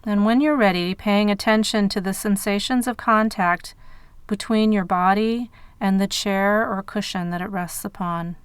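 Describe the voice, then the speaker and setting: A woman guiding a meditation in measured phrases with pauses between them. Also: mid-range voice, clear, resonant tone, no room sound. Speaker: meditation guide. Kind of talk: guided meditation narration.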